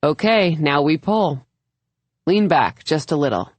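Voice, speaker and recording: A woman's voice: low-pitched, expressive, unhurried, dry recording. Woman voicing an easygoing, encouraging male sailing teacher. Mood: coaching, upbeat. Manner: patient, instructive, lightly teasing. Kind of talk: audiobook character dialogue.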